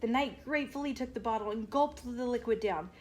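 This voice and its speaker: A woman reading a children's picture book aloud as an expressive storyteller. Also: a little high, a little fast.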